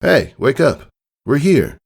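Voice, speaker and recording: A man's voice: mid-range voice, big pitch swings, takes it slowly, gravelly, breathy edge, voice pushed up, exaggerated voice. Man playing a playful, coaxing pegasus dad. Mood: upbeat, affectionate. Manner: nonchalant, coaxing. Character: pegasus father, adult male, playful, mildly impatient, affectionate. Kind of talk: acted fan fiction storybook reading.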